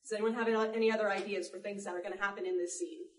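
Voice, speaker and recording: A woman's voice: mid-range voice, fairly even intonation, very fast. A woman giving an informal live demonstration as a panel presenter, chatty and explanatory. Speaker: panel presenter, sound effects artist. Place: live panel in a public library room. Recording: echoey room, distant mic, background noise.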